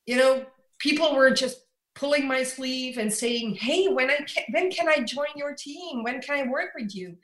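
A woman's voice: high-pitched, moderate pace, a bit bright. A Belgian woman whose first language is Dutch, an author and coach telling her story in a reflective interview and laughing often. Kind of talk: conversational interview. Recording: echoey room.

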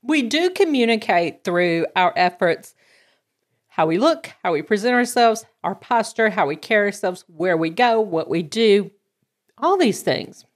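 A woman's voice: medium pitch, animated, measured pace. Woman, 50s, podcast host, bubbly and upbeat in casual chat. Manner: bubbly, enthusiastic.

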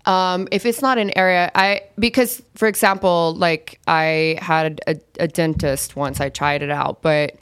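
A low-voiced young woman, her voice raspy as it starts to go. She explains her business frankly in long, flowing stretches. The sound is close and dry, with a smooth, full tone under the rasp. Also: steady tone, measured pace, few pauses, fairly bright tone, dry recording. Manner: candid, talks in long run-on explanations. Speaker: podcast guest, brand consultant. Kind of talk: casual podcast chat.

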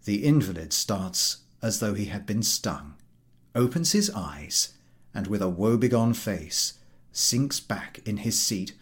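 A male audiobook reader narrates a scripted story. His delivery is slow and measured, with lively movement in the pitch.

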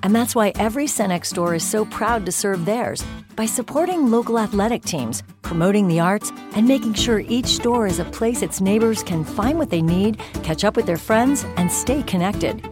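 Woman, mid-range pitch, scripted advert narration, clear music bed. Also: lively intonation, normal speed. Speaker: advertisement voice-over.

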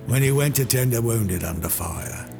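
A man voicing a rough old British soldier, reciting a war poem in heavy with bellowed calls. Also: medium pitch, animated, moderate pace. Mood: gruff recollection. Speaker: audiobook narrator. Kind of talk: dramatic poetry reading. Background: music.

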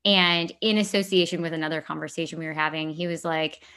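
A woman with a slightly low voice talks off the cuff as a podcast co-host. Her wording is loose and slangy, with swearing mixed into the easy conversation. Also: steady tone, fast talker, bright, forceful tone, clean tone. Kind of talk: casual, unscripted chat.

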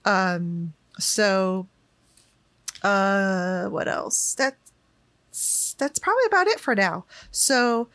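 Woman, podcast host, very slow pace, chatty, many fillers, dry close sound. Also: medium pitch, expressive, fairly bright tone, no echo. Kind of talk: casual podcast chat.

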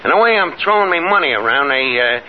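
An actor playing a boastful, vain schemer in a 1950s radio sitcom, with a New York accent and pompous, ungrammatical, hesitant delivery. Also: male voice, fairly high pitch, expressive, fast, crowd noise, natural voice. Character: boastful, scheming, malapropping tavern man, exasperated and vain. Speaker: star of a radio comedy. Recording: noisy, muffled 1950s radio recording.

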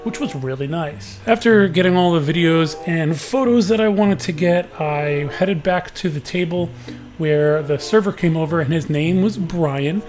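A man hosting a podcast with a booming voice, telling a story in an easygoing, chatty way. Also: normal speed, a little mellow. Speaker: podcast host. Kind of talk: casual podcast recap.

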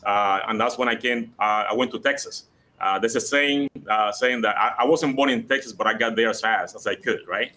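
A middle-aged man from Rico, talking as a guest in a live interview at a convention center. He speaks earnestly, repeating words and filling pauses with "uh". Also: fairly low pitch, pushed and bright. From Puerto Rico. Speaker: guest, city code department director.

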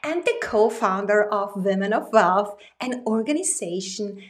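A woman's voice: medium pitch, lively intonation, unhurried. A woman hosting an interview show, reading a formal guest introduction and then chatting with some hesitant fillers. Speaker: podcast host.

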